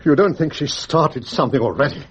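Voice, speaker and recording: Actor as a fretful, high-pitched pompous English judge. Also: male voice, lively intonation, fairly quick, dull audio, a little hiss or hum, close to an everyday voice. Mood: anxious, puzzled. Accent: English Received Pronunciation. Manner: fussy, exclamatory, brooding. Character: fretful, pedantic male judge, anxious and pompous. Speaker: actor in a radio drama. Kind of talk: BBC radio drama acting.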